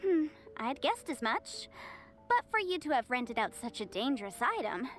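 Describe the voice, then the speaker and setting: High-voiced, confident young lawyer. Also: female voice, very animated, moderate pace. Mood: coolly threatening. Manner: articulate, formal, coolly assertive. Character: confident, shrewd young female legal adviser. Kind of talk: video game voice acting. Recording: music behind the voice.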